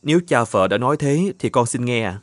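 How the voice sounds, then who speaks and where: A man voicing an earnest, devoted young bridegroom in an animated folktale, questioning and polite. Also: mid-range voice, fairly quick, dry recording, clean studio sound, natural voice. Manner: earnest, questioning, old-fashioned polite speech. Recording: music under the voice.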